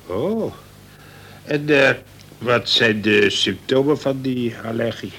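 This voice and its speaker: Gruff worried prison boss, gravelly sharp voice, keeps hesitating, radio drama. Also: male voice, mid-range voice, takes it slowly, exaggerated voice. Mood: fretful. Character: worried, irritable colonel, male prison governor. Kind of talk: radio play acting.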